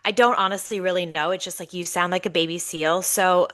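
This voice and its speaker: Woman, 30s, stuffy nasal voice, animated storyteller, tense bright tone. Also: lowish voice, brisk. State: congested, nasal. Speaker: podcast co-host. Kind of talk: casual storytelling chat.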